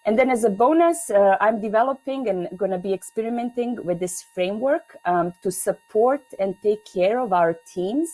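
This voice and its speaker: A woman's voice: mid-range voice, expressive, moderate pace, few pauses, a little mellow, faint music behind, poor audio. A woman from Serbia giving an online keynote on a video call, speaking with many hesitations and restarts.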